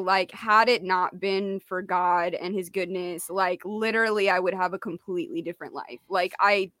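A woman's voice: medium pitch, fairly bright tone, no room sound. Chatty woman in a dry home studio. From Mexico. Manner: chatty, many "like" fillers, laughs often. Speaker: guest, rock artist. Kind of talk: casual interview chat.

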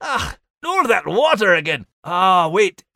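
A man's voice: high voice, sing-song, slow, gravelly, bright, forceful tone, dry recording, clean studio sound, exaggerated voice. A man playing a folksy, forgetful, jolly story host with a Scottish accent. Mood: jolly, bemused. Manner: folksy, chuckling. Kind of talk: scripted podcast character hosting.

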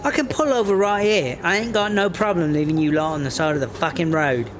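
A man's voice: on the high side, fairly quick, noisy background, close to an everyday voice. A man playing a brash, foul-mouthed English smuggler in a sci-fi comedy audio drama, sardonic and slangy. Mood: exasperated.

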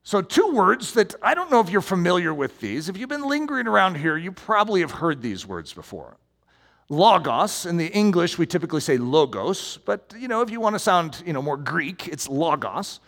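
A man preaching a sermon as pastor, animated and conversational, with asides to the congregation. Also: high-pitched, moderate pace. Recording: long hall reverb.

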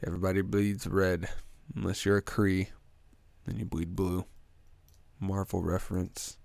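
A man's voice: deep voice, little pitch movement, measured pace, vocal fry, a little mellow, dry recording. Congested, crude young man rambling on a podcast. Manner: crude, joking, goes off on tangents. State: congested, stuffy nose. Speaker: podcast host. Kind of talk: rambling solo podcast chat.

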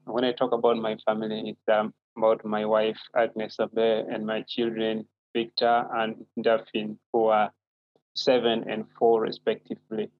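A man's voice: lowish voice, completely flat delivery, unhurried, narrow, tinny audio. A man in his thirties with a Ugandan accent, a native Acholi speaker, answering as an interview guest in a polite, thoughtful way. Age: 30s. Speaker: guest author.

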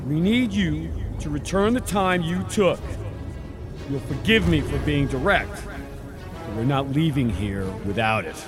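A man playing the brisk, direct leader of a team of scientists in a sci-fi audio drama. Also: fairly high pitch, expressive, music in the background. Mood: resolute. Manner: authoritative, direct.

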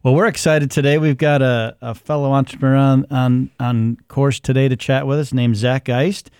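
A man's voice: mid-range voice, steady tone, normal speed, a little mellow, clean tone, no echo, studio quality. A man hosting a podcast, introducing it and chatting casually in an interview. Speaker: podcast host.

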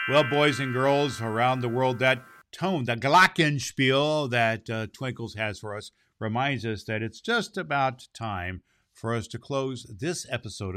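A man's voice: on the low side, pushed and bright, dry recording, clean studio sound. Man playing jolly, theatrical Santa Claus, dryly recorded. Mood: kindly, jolly. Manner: theatrical, drawn-out phrasing, ho-ho-ho chuckles. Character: jolly Santa Claus hosting and reading to children. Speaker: host and story reader. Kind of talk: storybook reading and children's show hosting.

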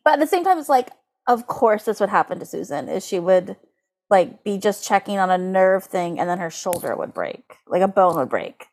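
A woman co-hosting a podcast in casual comedic chat, animated and giggly, sprinkling her sentences with "like". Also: mid-range voice, moderate pace. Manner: animated, giggly, uses "like" constantly. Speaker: podcast co-host. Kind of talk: casual comedic chat, recapping and reading aloud.

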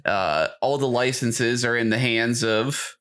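A man with a middle-pitched voice chats as a podcast co-host. He sounds opinionated and informal, using slang and commenting on himself as he goes. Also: measured pace, a bit bright, clear, resonant tone. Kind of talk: casual chat about video games and media.

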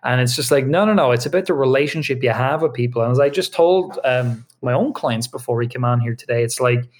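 Man answering in quick, loose bursts. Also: medium pitch. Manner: quick, loose, many restarts and fillers. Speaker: podcast guest, online business co-founder. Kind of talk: casual interview answers. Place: home video studio with lights.

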